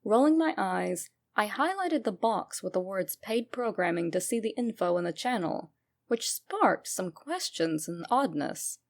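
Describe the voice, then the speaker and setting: Creaky-voiced woman reading a horror story. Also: medium pitch, animated, measured pace. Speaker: creepypasta narrator. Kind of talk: dramatic first-person horror story reading.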